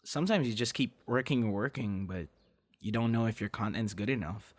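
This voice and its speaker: Bashful, low-voiced young boyfriend played by a man. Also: a little fast, lightly acted. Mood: earnest, modest. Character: affectionate, bashful young man on a picnic date. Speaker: ASMR voice actor. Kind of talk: ASMR boyfriend roleplay.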